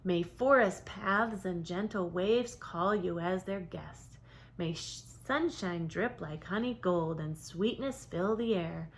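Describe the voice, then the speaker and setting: A woman voicing a wise old turtle, slow and solemn. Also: on the low side. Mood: gentle blessing. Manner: slow, solemn, lilting verse. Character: wise, kind old giant male turtle, solemn and loving.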